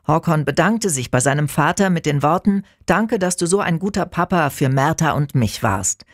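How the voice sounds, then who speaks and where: A man's voice: fairly high pitch, no echo. Formal male radio newsreader, dry studio. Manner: measured, formal delivery. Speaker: news anchor. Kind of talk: scripted news reading. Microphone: studio microphone.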